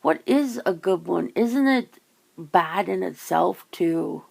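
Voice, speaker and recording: A woman chats casually in a lowish voice full of heavy vocal fry. She rambles from thought to thought, teasing and swearing freely as she goes. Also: expressive, slow pace, darkish tone. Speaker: ASMR channel host. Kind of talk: casual phone chit-chat.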